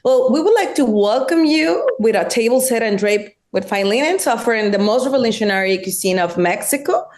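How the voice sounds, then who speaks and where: A woman presenting her region on a travel panel, enthusiastic, with halting, non-native phrasing. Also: medium pitch. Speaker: destination representative.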